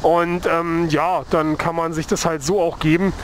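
A man's voice: a little high, little pitch movement, unhurried. A young man chatting casually and rambling as he rides a motorcycle through the city, speaking into a helmet mic with the visor open. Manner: rambling, laid-back, heavy with fillers. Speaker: motovlogger. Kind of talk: casual chat while riding. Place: on a motorcycle in city traffic, outdoors. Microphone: helmet-mounted mic, open visor. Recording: wind and traffic noise.

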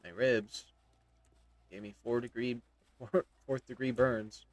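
A man's voice: medium pitch, steady tone, takes it slowly, no room sound. A man in his thirties giving casual, opinionated, emphatic commentary as he plays a video game. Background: faint game music.

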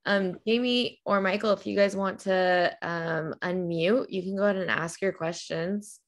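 A woman's voice: mid-range voice, steady tone, unhurried. Upbeat woman moderating a webinar. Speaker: event host.

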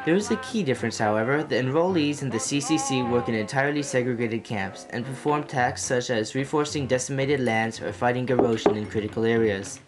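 A teenage man playing a composed, formal 1930s radio host, speaking in a measured, dry way from a script. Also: mid-range voice, close to an everyday voice. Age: teens. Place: small wood-panelled room indoors. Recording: music playing over the voice.

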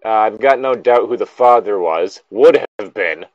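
A man's voice: on the low side, normal speed, no echo, muffled recording. A man co-hosting a casual read-aloud of a story, with blunt, exasperated, profane commentary. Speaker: co-host reading a story aloud with running commentary.

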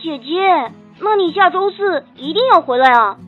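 Woman doing a sweet eager little boy in an audiobook, high kiddy voice, thin phone sound. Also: expressive, normal speed. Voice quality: childlike, tender. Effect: phone filter. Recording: thin phone-like band, music.

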